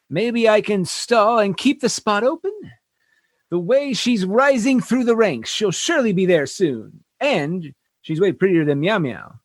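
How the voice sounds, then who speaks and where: An actor playing a flustered, grumbling space station captain in a comedy sci-fi radio show. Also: male voice, high-pitched, dry recording, clean studio sound, heavily acted.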